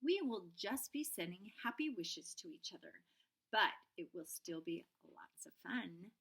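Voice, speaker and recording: A woman voicing a patient kindergarten teacher in a children's storybook, polite, measured and gently firm. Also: medium pitch, sing-song, vocal fry, slightly rough, exaggerated voice. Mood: announcing. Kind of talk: children's storybook read-aloud.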